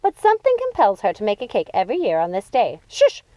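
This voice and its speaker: A woman's voice: medium pitch, huge pitch swings, fast talker, dark tone, dry recording. A woman voicing a bossy, impatient witch sister in a storybook, snappish and commanding. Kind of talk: storybook character voice.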